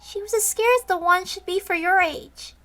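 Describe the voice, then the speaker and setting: A woman's voice: steady tone, normal speed, fairly bright tone. A woman voicing a timid, fretful female ghost who scolds and scares easily, reading a horror story. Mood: scolding. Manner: high-pitched, fretful, stammering.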